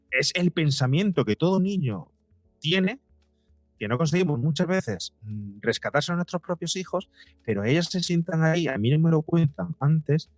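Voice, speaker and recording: A man's voice: medium pitch, no room sound. A middle-aged man with a Spain accent answering conversationally as a podcast guest, reflective and repeating phrases for emphasis.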